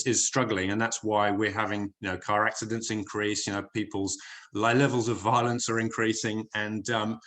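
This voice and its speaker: A man from the UK with an English accent softened by years in New Zealand, giving a chatty, filler-heavy wellness talk on a video call. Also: lowish voice. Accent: English with a slight New Zealand tinge. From United Kingdom. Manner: chatty, hesitant, many fillers and restarts. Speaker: wellness presenter. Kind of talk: online corporate presentation.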